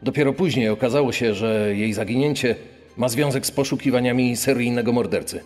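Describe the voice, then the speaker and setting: A man's voice: medium pitch, fairly quick, a little mellow, natural voice. Man playing a sober detective, echoing hall sound. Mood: sober, reflective. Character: sober male police detective recounting a case. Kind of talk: true crime podcast reenactment. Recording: long reverb, instrumental music behind.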